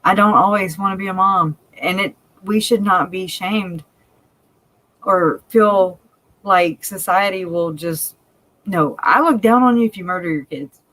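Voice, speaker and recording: A woman with a country Cajun accent chats openly on a livestream, laughing easily between her thoughts. Her voice sits at a middling pitch for a woman. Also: measured pace, fairly bright tone, rough recording. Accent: Cajun, country-sounding. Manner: chatty and candid, laughs often. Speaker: livestream co-host. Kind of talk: casual live chat.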